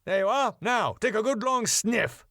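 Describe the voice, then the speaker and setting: A man's voice: high voice, expressive, moderate pace, fairly bright tone, dry recording, studio quality, voice pushed up. A man voicing a pompous, sarcastic middle-aged male venue owner. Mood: haughty. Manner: fussy, sarcastic, falsely polite.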